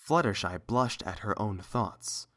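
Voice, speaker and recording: Animated audiobook narrator with lively pitch. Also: measured pace. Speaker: narrator and reader. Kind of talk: scripted story narration, audiobook.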